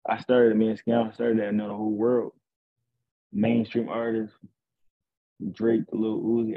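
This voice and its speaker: Young man, low gravelly voice, husky, laid-back bragging, tinny video call. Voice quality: deep raspy voice. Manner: laid-back, boastful, rambling. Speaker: rapper guest. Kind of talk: casual interview. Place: indoors at home.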